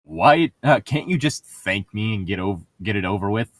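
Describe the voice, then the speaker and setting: A man's voice: on the low side, lively intonation, moderate pace, fairly bright tone, no echo. A man co-hosting a read-along, blunt and sardonic, swearing freely as he moves between casual banter and reading aloud. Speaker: co-host of a group read-along show. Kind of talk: casual chat mixed with reading a novel aloud.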